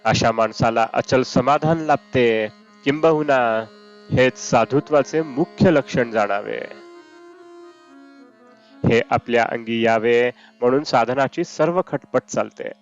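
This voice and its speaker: A man reads a devotional discourse at a slow, deliberate pace in a mid-pitched voice. Indian classical music with sitar plays behind him, and the recording is slightly dull. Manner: measured, deliberate phrasing. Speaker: reader of spiritual discourses. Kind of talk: read-aloud devotional discourse. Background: Indian classical raga music with sitar.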